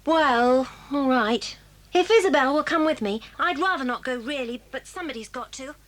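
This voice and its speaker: A woman's voice: high-pitched, expressive, normal speed, a bit bright. An actress with an English accent playing an earnest, fair-minded schoolgirl in a children's audio drama. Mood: earnest, reluctant.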